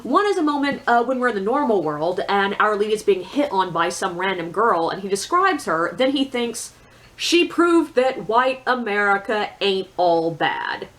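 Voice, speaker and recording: A woman's voice: medium pitch, animated, normal speed, a bit bright. A woman giving a casual, opinionated book review in a blunt, sardonic, conversational way with emphatic asides.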